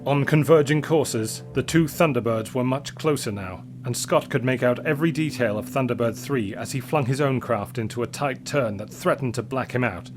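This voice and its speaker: A man's voice: mid-range voice, moderate pace, few pauses, over music. A man narrating an adventure story in a scripted, dramatic style.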